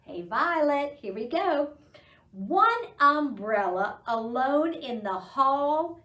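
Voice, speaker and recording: A middle-aged woman hosting a children's storytime, reading aloud and talking to young children in an animated, encouraging way. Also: high voice, slow pace. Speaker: library storytime host. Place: small indoor library room. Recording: roomy echo, distant microphone.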